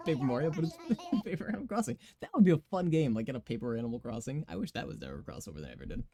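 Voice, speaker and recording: A young man in his twenties with a raspy voice, chatting casually and giggling often as he streams a game. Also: mid-range voice, very animated, racing. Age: 20s. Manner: giggly, rambling chatter full of fillers.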